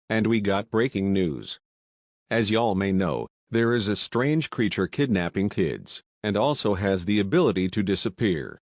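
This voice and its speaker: A man's voice: fairly low pitch, no room sound. A man playing a folksy TV news host delivering grave warnings in a mock breaking-news broadcast. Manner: folksy, addresses viewers as y'all.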